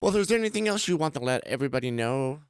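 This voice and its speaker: A man with a smooth, clear voice hosts a casual podcast chat. He talks quickly and agreeably, encouraging his guest with short replies. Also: medium pitch, lively intonation, no room sound, studio quality. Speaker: podcast host.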